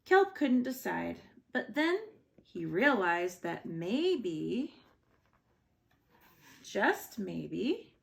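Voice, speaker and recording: A woman's voice: mid-range voice, sing-song, very slow, vocal fry. A woman reading a children's picture book aloud as a bedtime story, expressively and with short asides. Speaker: storybook reader.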